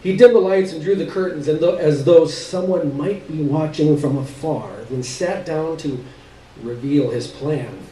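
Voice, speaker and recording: A man with a slightly higher-pitched voice reads a first-person short story aloud as a live performance. He is recorded a little distant in an echoey space. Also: a little slow, mellow. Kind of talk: live dramatic reading of first-person literary fiction. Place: live stage reading in a roomy space. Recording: echoey room, distant mic, background noise.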